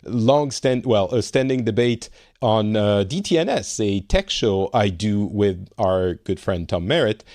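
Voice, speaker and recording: A man from France with a French accent, hosting a casual podcast, chatty and quick to correct himself. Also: fairly low pitch, a little mellow, no echo, studio quality. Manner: chatty, self-correcting, laughs easily. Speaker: podcast host. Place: home in Finland.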